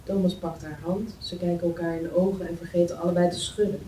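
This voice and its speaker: A woman's voice: lowish voice, flat delivery, quick pace, warm tone. A woman reading scripted stage directions at a table read. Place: meeting room. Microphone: far camera. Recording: roomy, distant mic, faint noise.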